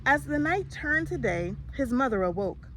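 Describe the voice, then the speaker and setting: A woman reading a children's storybook aloud in an expressive way. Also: high voice, normal speed, a little hiss or hum. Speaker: storybook reader.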